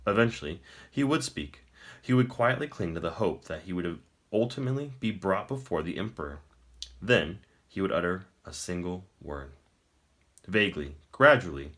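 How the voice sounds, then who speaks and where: A man reading a fantasy novel aloud in a measured, deliberate storytelling manner with emphatic phrasing. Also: deep voice, a bit bright. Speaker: audiobook-style reader. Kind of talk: scripted dramatic narration of a fantasy novel.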